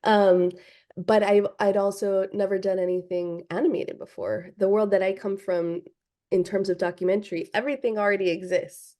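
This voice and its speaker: Woman from Hawaii, filmmaker guest; warm, unhurried interview talk, thinking aloud. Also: medium pitch, fairly even intonation. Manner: thoughtful, many ums and restarts. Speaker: film director, guest. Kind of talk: casual interview answers.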